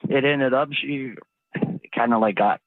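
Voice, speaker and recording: A man calling in to a radio show, talking casually in a halting, apologetic way. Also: mid-range voice, crowd noise. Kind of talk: hesitant casual phone talk. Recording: dull, lo-fi phone call.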